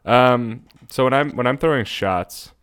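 A man's voice: medium pitch, measured pace, a bit bright, clear, resonant tone. A man co-hosting a podcast, explaining things informally and thinking aloud as he goes. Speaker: podcast co-host. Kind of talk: casual podcast chat and explanation.

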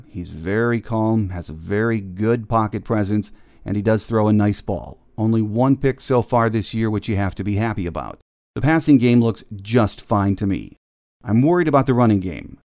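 A man's voice: on the low side, darkish tone, no room sound, studio quality. An American man hosting a sports podcast, delivering a scripted monologue. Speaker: podcast host.

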